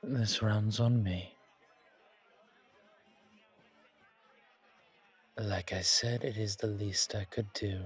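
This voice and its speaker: Man voicing a slow, husky, courtly vampire gentleman. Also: on the low side, steady tone. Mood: seductive, teasing. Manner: genteel, poetic, unhurried, flirtatious. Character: suave, courtly, old-world male vampire charming a stranger. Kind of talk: roleplay audio, ASMR horror.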